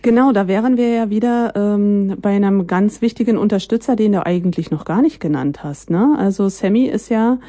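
A woman's voice: medium pitch, brisk, hardly any pauses, mellow, dry recording. A woman interviewing in a casual, conversational way, with hesitant phrasing and an encouraging manner.

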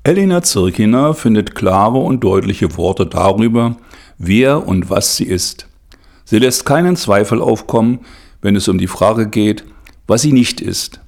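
A man narrating a documentary report in a measured, formal way. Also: lowish voice, animated, darkish tone. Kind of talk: scripted narration.

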